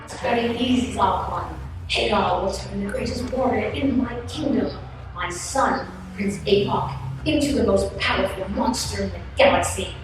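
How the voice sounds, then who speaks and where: A woman's voice: mid-range voice, normal speed, noisy background, lightly acted. A woman playing a proud, scheming but deferential king in a cartoon radio play, with an earnest, formal delivery. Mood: boastful. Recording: echoey hall, far off, music behind.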